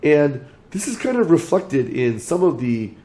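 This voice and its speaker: A man's voice: on the high side, very animated, warm tone. A man explaining his market outlook as a channel host, talking through points in order while losing his voice from illness. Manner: measured, lays out points in order.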